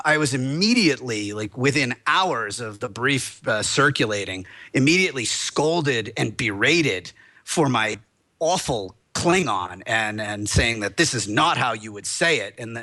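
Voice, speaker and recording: This man rambles with excitement and keeps circling back to restart his thoughts. His voice has a bright, forward edge and sits at a middle pitch. He sounds like a lawyer guest joining a podcast discussion over a remote mic.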